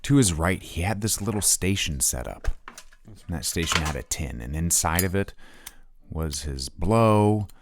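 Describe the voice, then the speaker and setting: An actor playing an earnest, deferential podcast host and devoted fan, sometimes hesitant, in a scripted mock interview. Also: male voice, low voice, expressive, a little slow, very noisy. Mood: earnest narration.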